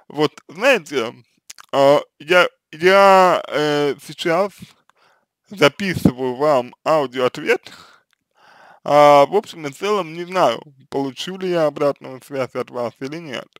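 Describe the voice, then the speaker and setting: A man giving informal counseling advice, halting, often repeating and restarting his phrases. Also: fairly high pitch, a bit bright, no echo. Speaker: counselor answering written questions. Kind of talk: reading a letter aloud, then informal advice.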